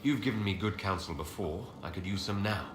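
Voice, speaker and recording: An actor playing a composed, commanding leader in a fantasy video game, asking direct questions. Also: male voice, sits low, a little fast, a bit bright. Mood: inquiring, composed. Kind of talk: video game dialogue acting. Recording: roomy echo, clear background noise.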